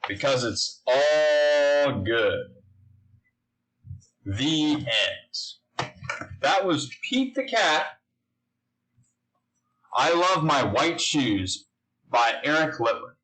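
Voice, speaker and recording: Slow-paced man reading to children. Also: on the high side, expressive, fairly bright tone, soft background music, low-quality recording. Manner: slow, simple delivery with questions to young listeners. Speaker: story reader. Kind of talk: children's picture-book read-aloud.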